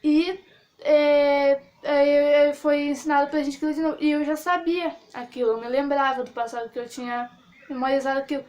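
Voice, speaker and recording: A young woman talks straight to camera in a relaxed vlog, sharing her view. Her voice sits high, and she meanders with frequent fillers and self-corrections. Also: normal speed. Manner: rambling with many fillers and restarts. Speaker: vlogger. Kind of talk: casual opinion monologue.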